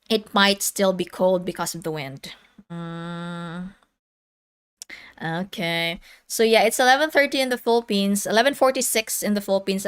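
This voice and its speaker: A woman's voice: on the high side, a bit bright, clean tone. A woman in her thirties hosting a casual livestream, chatting with viewers, laughing often and reading the chat aloud. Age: 30s. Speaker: livestream host.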